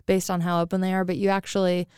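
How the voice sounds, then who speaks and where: A woman with a raspy voice, chatting casually as a podcast co-host and rambling with lots of fillers. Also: on the low side, little pitch movement, fast, a little mellow, dry recording, studio quality. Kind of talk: casual chat.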